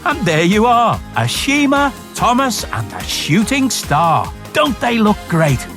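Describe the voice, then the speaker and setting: A man's voice: high voice, huge pitch swings, slow pace, over music. A man presenting children's storytime, narrating in a scripted style and speaking directly to young viewers with questions and simple instructions.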